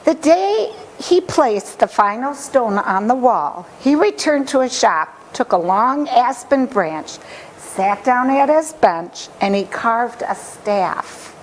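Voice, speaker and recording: A woman storyteller reading a children's picture book aloud with expressive, deliberate phrasing. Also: fairly high pitch, a little hiss or hum, low-quality recording.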